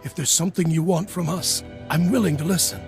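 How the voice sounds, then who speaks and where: A man's voice: a little high, fairly even intonation, brisk, music in the background, lightly acted. A man playing a humble, anxious father who works as a servant in a video game, speaking in a meek, earnest, pleading way. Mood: fearful pleading. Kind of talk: video game dramatic acting.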